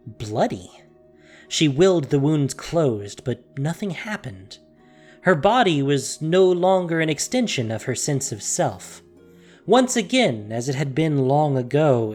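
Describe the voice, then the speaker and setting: A narrator reading a dramatic audio story theatrically, with deliberate pauses and hushed emphasis, in a scripted style. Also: medium pitch, husky. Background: music.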